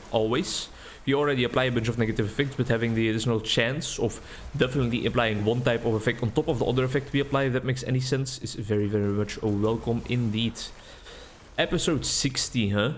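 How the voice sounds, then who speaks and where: A young Belgian-accented man talks through his gameplay at an easy, meandering pace, thinking aloud between remarks. His voice sits at an ordinary middle pitch. A faint hiss of noise runs underneath the recording. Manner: rambling, relaxed, thinking aloud. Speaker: gaming video creator. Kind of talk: casual gameplay commentary. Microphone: desk mic with webcam.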